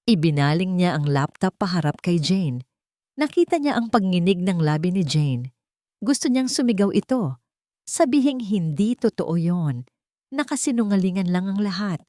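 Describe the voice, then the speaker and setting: A woman narrating a romance drama story with emotive, suspenseful delivery and dramatic pauses. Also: fairly low pitch, darkish tone, no echo, studio quality.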